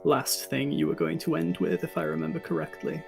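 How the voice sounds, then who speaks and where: A game master improvising narration and chatting casually in hesitant, halting phrases. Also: mid-range voice, steady tone, vocal fry, faint music behind.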